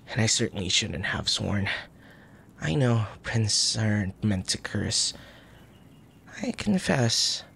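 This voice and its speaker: A man playing a soft, tender young crown prince in an intimate ASMR roleplay, speaking formally with a gentle, hesitant manner and a troubled air. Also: on the low side, vocal fry, a little hiss or hum. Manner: formal old-fashioned wording, gentle, hesitant.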